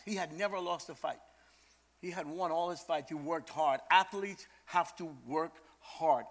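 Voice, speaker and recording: An older man from Brooklyn, preaching in a storytelling style, animated and emphatic, repeating phrases for effect. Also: on the high side. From Brooklyn, New York, USA. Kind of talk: storytelling sermon.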